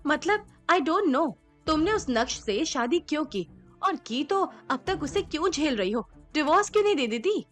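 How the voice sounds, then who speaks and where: A snobbish young cousin in an audio drama. Her very high voice swoops about dramatically. She is gushing yet haughty, with a sharp tongue. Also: sing-song, normal speed, faint music behind. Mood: disapproving. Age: young adult. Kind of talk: Hindi audio drama acting.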